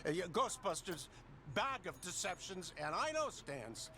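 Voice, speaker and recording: An actor playing a smug, sarcastic middle-aged official in a video game, mocking and pompous. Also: male voice, sits high, bright, forceful tone, slight background noise, heavily acted.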